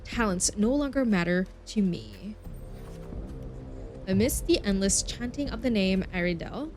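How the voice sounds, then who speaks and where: A woman streaming a story game with a medium-pitched voice. She reads the text aloud, sometimes tripping over words, and drops in expressive reactions of her own. Music plays behind her. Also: unhurried. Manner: stumbles over words while reading, expressive asides.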